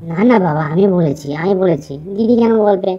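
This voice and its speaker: A woman's voice: on the low side, a little fast, breathy edge, highs cut off. A woman voicing a cheeky, boastful young village boy in a children's puppet story. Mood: sly, pleading. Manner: boastful, playful.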